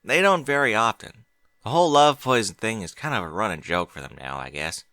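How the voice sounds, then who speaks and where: Man voicing a country pony mare, easygoing drawl, bright tone. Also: fairly low pitch, lively intonation, fairly quick, no room sound, clean studio sound.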